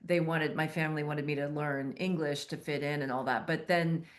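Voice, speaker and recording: A woman's voice: deep voice, steady tone, normal speed. A woman born in Iraq, whose first language is Arabic, chatting casually about her film with many you-knows. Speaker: filmmaker. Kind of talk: casual interview answers.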